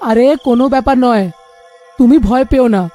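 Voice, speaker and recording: Man acting as a cartoon village husband, extremely high pitch, soft mellow tone, exclamatory, easily alarmed. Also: a little fast, faint music behind. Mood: reassuring.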